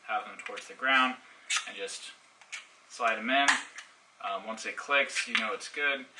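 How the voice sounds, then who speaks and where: A man giving a casual unboxing review and hands-on demonstration, explaining things informally step by step. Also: on the low side. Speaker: product reviewer. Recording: distant mic, faint background noise.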